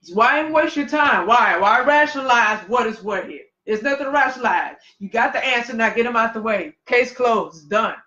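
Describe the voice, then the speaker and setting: A man with a notably high voice co-hosting a casual livestream talk show. He speaks emphatically, often tacking "man" onto his lines. He sounds echoey and muffled, as if captured in a bare room on a lo-fi stream. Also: lively intonation, a little fast, few pauses, pushed and bright. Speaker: podcast co-host. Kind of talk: casual chat. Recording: echoey, dull lo-fi livestream audio.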